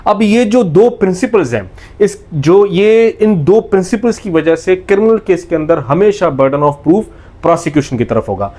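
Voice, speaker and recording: A Pakistani-accented man with a fairly high voice teaches in a methodical lecture style. He lays out each point step by step and restates it with emphasis, dropping English technical terms into his explanation. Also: expressive, normal speed, a little mellow. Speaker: law lecturer.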